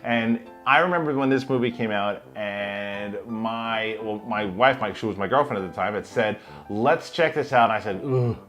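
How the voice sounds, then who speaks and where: A man's voice: on the low side, moderate pace, hardly any pauses, a bit bright. A man co-hosting a film show, chatting casually and enthusiastically through a countdown list. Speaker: co-host of a movie review show. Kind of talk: casual movie countdown chat. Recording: music playing behind the talk. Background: music bed.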